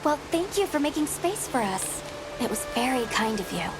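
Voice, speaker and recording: Eager young game adventurer. High voice, friendly, inquisitive, polite, music underneath. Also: female voice, big pitch swings, normal speed, poor audio. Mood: eager, grateful. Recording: music behind the voice.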